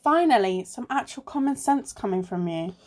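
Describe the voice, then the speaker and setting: Sensible, sarcastic female sleuth played by an actress, mid-pitched, dry and easily exasperated, amateur radio play. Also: animated, normal speed, close to an everyday voice. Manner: dry, sarcastic, matter-of-fact.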